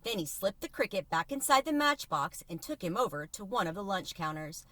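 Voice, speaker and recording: A woman storyteller reading a children's novel aloud in an expressive, animated way. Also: medium pitch, fairly quick, dry recording.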